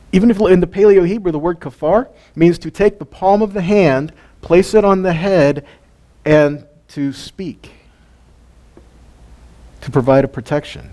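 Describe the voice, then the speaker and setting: A man's voice: fairly high pitch, dark tone. A man teaching a weekly Bible lesson in a conversational way, asking his listeners questions and chuckling between points. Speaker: teacher of a weekly Torah study. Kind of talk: informal Bible teaching to a live group.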